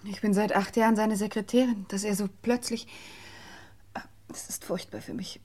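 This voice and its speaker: A woman's voice: medium pitch, fairly even intonation, normal speed, a little mellow, lightly acted. An actress playing a polite, grieving secretary in a German radio crime play, distressed and faltering as she speaks. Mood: shaken, grieving.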